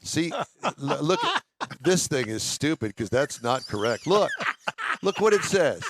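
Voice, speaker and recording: A man's voice: on the high side, very animated, a little slow, background noise. An older American man co-hosting a radio show, chatting casually and reading items aloud in a jokey, conversational way. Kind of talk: casual banter and reading news stories aloud.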